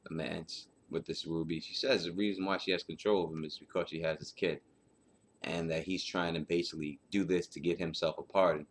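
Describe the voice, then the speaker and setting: Low-voiced man doing informal commentary. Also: steady tone, fairly quick, a little hiss or hum. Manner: rambling, repetitive, colloquial. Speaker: host of book chapter breakdown.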